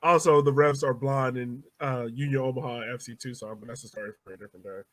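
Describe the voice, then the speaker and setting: A man's voice: medium pitch, steady tone, fast, fairly bright tone, dry recording. A man hosting a casual sports podcast, outgoing and given to repeating his short phrases. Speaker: podcast host.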